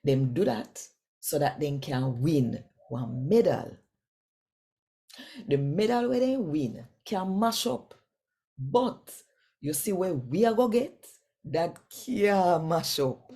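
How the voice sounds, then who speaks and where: A woman's voice: deep voice, expressive, takes it slowly, mellow. A woman from Jamaica with a Jamaican accent giving a devotional scripture reading in Patois, speaking directly and conversationally to listeners. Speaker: Bible reader and host.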